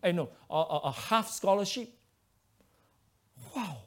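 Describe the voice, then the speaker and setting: Malaysian guy telling his testimony at church, choppy english, fairly high voice. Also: a little slow. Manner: broken English, animated storytelling. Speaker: preacher giving testimony. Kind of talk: testimony sermon.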